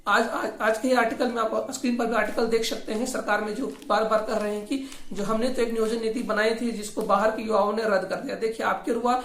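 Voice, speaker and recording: A woman's voice: on the high side, fairly even intonation, fast, slight background noise. A woman hosts informal political commentary, speaking critically and argumentatively and often repeating her points. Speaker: host of a regional affairs channel. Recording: echoey, roomy voice recording.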